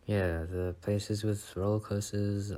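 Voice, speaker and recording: Man playing a shy, slow-talking young boyfriend. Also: on the low side, flat delivery, mellow. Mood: shy, hopeful. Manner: hesitant, bashful, halting. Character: shy, sweet student boyfriend on a first date. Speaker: ASMR roleplay performer. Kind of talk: soft-spoken ASMR boyfriend roleplay.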